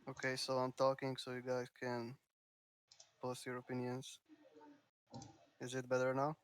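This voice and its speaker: A man co-casting a card game match on stream, calm and matter-of-fact. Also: medium pitch, a little slow. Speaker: co-caster. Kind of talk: esports game commentary.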